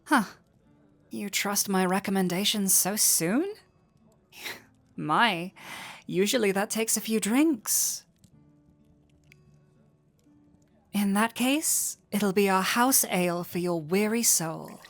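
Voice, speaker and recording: A woman's voice: medium pitch, slow. A woman playing a flirty, teasing tavern innkeeper in an intimate fantasy audio roleplay, with a coy, playful manner.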